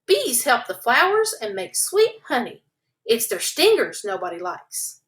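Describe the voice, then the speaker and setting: A woman's voice: fairly high pitch, wildly swooping pitch, unhurried, a bit bright, exaggerated voice. A woman doing a kind, wise old skunk. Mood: explaining.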